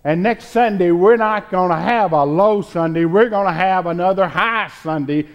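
An American man in his sixties preaching a sermon as a pastor, deliberately, in short phrases with frequent pauses. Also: sits high. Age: 60s. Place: church sanctuary, at a pulpit before a choir.

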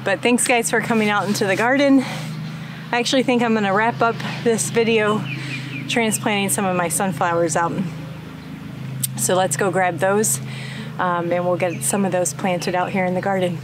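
A woman's voice: medium pitch, fairly even intonation, moderate pace. Chatty woman gardening outdoors. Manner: chatty, talks while working. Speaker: homestead vlogger. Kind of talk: casual gardening vlog. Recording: outdoor noise behind.

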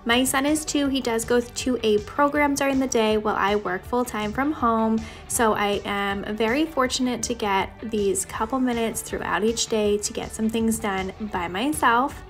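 A woman's voice: fairly high pitch, moderate pace, hardly any pauses. A young woman giving a casual, chatty voice-over as a home vlogger, talking directly to her viewers. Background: background music.

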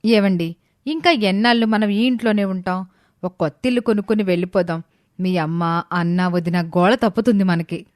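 A woman voicing a resentful, sharp-tongued wife in a family story, complaining and accusing. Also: mid-range voice, normal speed, no room sound, clean studio sound, close to an everyday voice. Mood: fed up.

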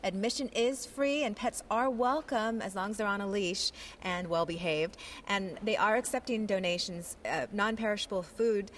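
A woman in her thirties reports live for a morning news show, upbeat and playful as she chats through the segment. Her voice sits at a middle pitch, picked up on a handheld mic in a large indoor expo hall. A faint murmur of hall ambience runs underneath. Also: normal speed, hardly any pauses, slight background noise. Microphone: handheld station mic.

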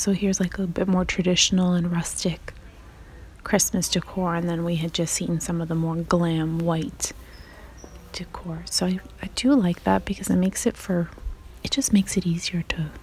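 A woman whispering softly and chattily as she films herself browsing a large craft store on a handheld phone. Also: lowish voice, little pitch movement, moderate pace, slight background noise. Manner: soft whispering, chatty and admiring. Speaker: vlogger. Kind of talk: whispered shop-with-me vlog. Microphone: handheld phone camera.

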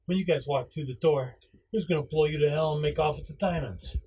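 A man's voice: on the high side, fast talker, mellow, natural voice. A man playing a wounded, defiant, sarcastic robber in an amateur radio play. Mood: insistent. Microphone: VHF radio play recording. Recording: faint background noise over marine radio.

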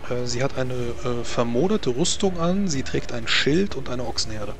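A man's voice: mid-range voice, animated, normal speed. A man running a tabletop role-playing game as game master, narrating casually and hesitantly as he thinks aloud. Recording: music behind the voice. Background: music.

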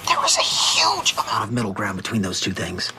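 A man's voice: fairly low pitch, huge pitch swings, a little fast, heavy background noise. An actor playing an exasperated, controlling man in a sitcom, emphatic and condescending. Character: exasperated, controlling man scolding his partner. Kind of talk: sitcom acting.

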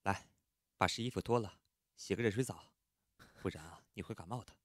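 A man playing a hot-tempered but caring young roommate in an audio drama, expressive and exclamatory. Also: low voice, fairly quick, no room sound, clean studio sound. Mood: tender concern. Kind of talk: Chinese audio drama acting.

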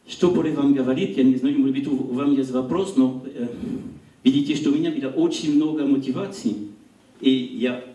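Halting French-accented man, distant roomy mic. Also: medium pitch, mellow. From France. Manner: halting, searching for words. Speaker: lecturer. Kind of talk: public lecture. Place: small gallery hall, indoors. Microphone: handheld mic. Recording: roomy hall recorded from afar.

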